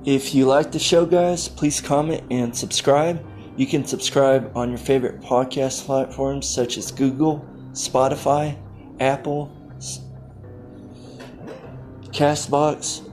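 Casual male podcast host. Slow, halting delivery, medium pitch, faint music underneath. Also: steady tone, a little mellow.

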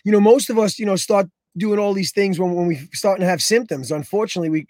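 A man with a naturally raspy voice, stuffy and nasal from allergies, co-hosting a casual podcast chat in a lively, joking way. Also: high-pitched, fast, no echo. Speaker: fitness instructor and podcast co-host.

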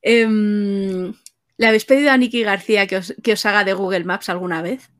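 A woman's voice: mid-range voice, steady tone, moderate pace. Spanish woman interviewing in an easy chat. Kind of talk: casual chat.

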